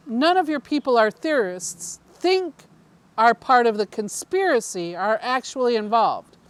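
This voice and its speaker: A woman reading the part of an undercover alien woman and devoted mother, explaining her mission in an earnest, wry, matter-of-fact way. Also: on the high side. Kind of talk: first-person story reading.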